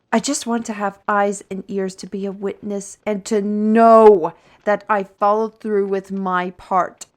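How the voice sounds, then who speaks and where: A woman playing a weary, irritable, paranoid artist with magical abilities in a fantasy audio drama, grumbling and halting. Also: medium pitch, flat delivery, close to an everyday voice. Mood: exasperated, defensive.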